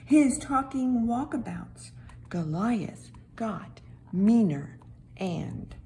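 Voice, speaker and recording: Woman voicing a slow, wide-eyed storybook stone. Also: on the high side, expressive, a little hiss or hum, full character voice. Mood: sad. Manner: dramatic, hushed whispers and emphatic repetition. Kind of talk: children's Bible storytelling.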